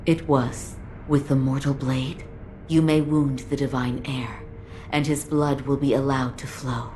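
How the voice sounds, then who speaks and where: Low-voiced, composed lady physician. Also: fairly even intonation, measured pace, mellow, slight background noise. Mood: calm, grave. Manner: formal, composed. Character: calm, knowledgeable female physician, courteous and reserved. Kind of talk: video game cutscene acting.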